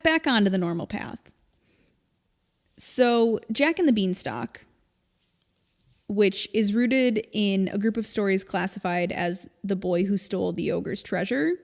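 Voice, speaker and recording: A woman co-hosting a podcast, explaining things in casual, informal chat with an animated storytelling manner. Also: medium pitch, measured pace, vocal fry. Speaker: podcast co-host. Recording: long reverb, hall-like room.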